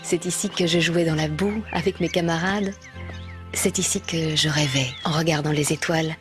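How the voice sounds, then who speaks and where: A woman acting as a nostalgic grown woman narrating a cartoon. Her voice sits slightly low, and she speaks in a measured, tender way as she reflects on childhood. Music plays clearly beneath her. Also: steady tone, lightly acted. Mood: wistful. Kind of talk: reflective scripted narration in a French animated series dub. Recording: music playing under the voice.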